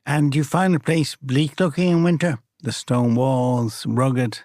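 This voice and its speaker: A man hosting a podcast interview, asking questions gently and reflectively in short fragments. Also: mid-range voice, fairly even intonation, measured pace, no echo, clean studio sound. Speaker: podcast host.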